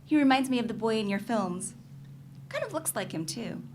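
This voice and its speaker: A woman's voice: a little high, lively intonation, normal speed, darkish tone, slight background noise, lightly acted. An actress reading the part of a candid, skeptical girlfriend in a staged reading of a novel, in a conversational, questioning way. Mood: shy, playful. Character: candid, curious, skeptical girlfriend probing her lover's secrets.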